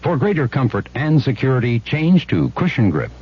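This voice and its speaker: A man's voice: medium pitch, very animated, moderate pace, a little hiss or hum. A man delivering a scripted radio commercial as an announcer. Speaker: advertising announcer. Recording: dull old radio recording.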